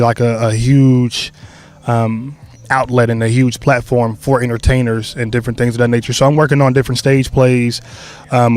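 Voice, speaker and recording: A young man who created a stage play, answering interview questions in a loose, relaxed way with many fillers and restarts. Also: medium pitch.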